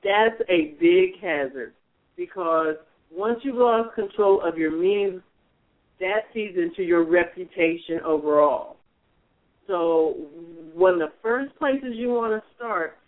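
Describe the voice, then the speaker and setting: A man hosting a radio advice show, coaching in a strong, projecting voice with frequent restarts. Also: high-pitched. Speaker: radio show host, mentor. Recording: phone-like narrow band.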